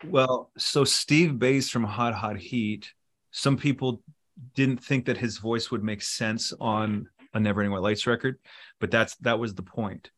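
A middle-aged man talking casually in an interview, relaxed and rambling, with many fillers and restarts. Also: medium pitch, measured pace. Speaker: musician and producer guest.

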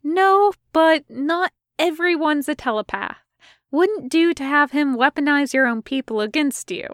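A woman's voice: high-pitched, animated, measured pace, dry recording, clean studio sound, voice pushed up. A woman voicing a breezy female covert agent and kidnapper in an audio story, sardonic, condescending and sweetly chatty. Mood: dry, sardonic.

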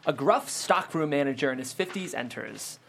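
A narrator reading screenplay stage directions aloud in an animated storytelling manner. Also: mid-range voice, moderate pace, gravelly, a little hiss or hum.

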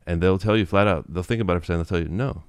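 Quick, low-voiced man explaining. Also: clear, resonant tone, no echo, studio quality. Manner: quick, thoughtful, full of "you know" and restarts. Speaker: interview guest. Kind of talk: relaxed explanatory interview talk.

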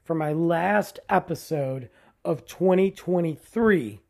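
A man hosting his own podcast in a casual, rambling way, tired and losing his voice, often trailing low at the ends of phrases. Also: slow pace, vocal fry, darkish tone. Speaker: podcast host. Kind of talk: casual solo podcast intro.

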